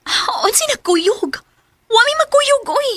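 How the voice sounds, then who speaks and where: A woman's voice: very high-pitched, sing-song, measured pace, bright, forceful tone, no echo, studio quality, exaggerated voice. A woman with a Filipino Bisaya accent acting a blunt, evasive young girlfriend who strings a suitor along in a radio drama. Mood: defensive, blunt.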